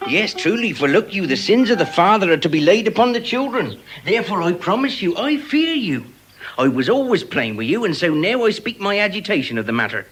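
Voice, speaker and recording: An actor playing a cheeky, quibbling clown servant in classical Shakespearean style, teasing with mock solemnity. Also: male voice, high voice, big pitch swings, a little fast, a bit bright, husky, faint music behind, heavily acted, rough recording. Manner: mock-solemn, theatrical wordplay. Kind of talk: classical Shakespearean stage acting.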